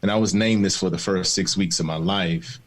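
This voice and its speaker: Low-voiced man telling stories reflectively. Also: fairly even intonation, fairly quick. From born in the Bahamas, raised in Maryland. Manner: reflective, storytelling, laughs easily. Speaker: guest, film and TV showrunner. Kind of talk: candid panel conversation.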